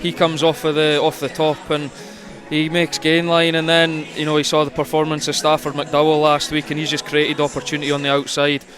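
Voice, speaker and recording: Flat-toned male rugby co-commentator. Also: a little high, a little fast, few pauses, a crowd in the background. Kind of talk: conversational match analysis. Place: commentary position at a rugby ground.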